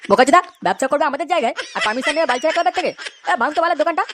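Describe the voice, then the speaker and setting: Woman as a high-pitched jeering street thug. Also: expressive, rapid-fire, fairly bright tone, slight background noise. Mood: menacing. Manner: threatening, jeering, swearing. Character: foul-mouthed bullying young street thug, cocky henchman. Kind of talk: comic cartoon dubbing.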